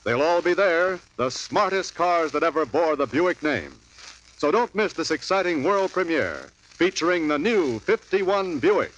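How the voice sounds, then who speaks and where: Lively old-time radio announcer man. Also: a little high, a little slow, a little hiss or hum. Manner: upbeat, selling. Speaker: sponsor announcer. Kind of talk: 1950s radio advertisement. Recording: dull old radio broadcast.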